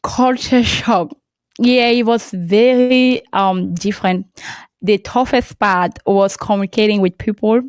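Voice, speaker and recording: A young woman from Cameroon, a French speaker with a Cameroonian accent, talking as a podcast guest in a halting way and laughing often. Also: medium pitch, smooth, no room sound. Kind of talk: casual interview talk.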